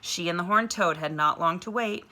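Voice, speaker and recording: A woman reading a children's novel aloud with clear, expressive storytelling. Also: lowish voice, moderate pace.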